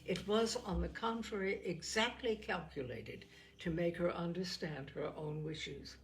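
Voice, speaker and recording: A woman reading literary fiction as an audiobook narrator, with measured, dramatic phrasing and expressive delivery. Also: on the low side, a little hiss or hum, poor audio.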